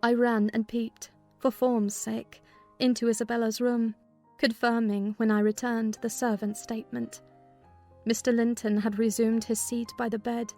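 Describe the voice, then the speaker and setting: A woman's voice: a little high, little pitch movement, a little slow, warm tone, soft background music. A woman reading scripted audiobook narration of a classic novel.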